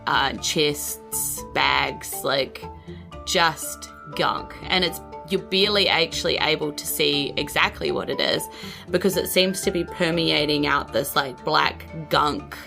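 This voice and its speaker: A woman's voice: low voice, slow pace, creaky, over music. A woman narrating a tabletop game as its host, in an informal, conversational, descriptive way. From New Zealand. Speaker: game master and podcast host.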